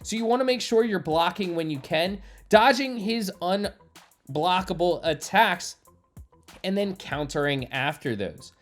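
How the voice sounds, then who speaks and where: A man's voice: sits high, expressive, measured pace. A man giving enthusiastic, explanatory video game walkthrough commentary as the creator of a gaming channel. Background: game music.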